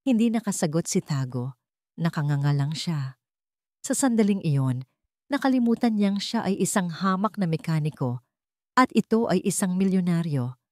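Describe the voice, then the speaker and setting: A woman narrating a romantic drama in a calm, measured storytelling manner. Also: on the low side, no echo, clean studio sound.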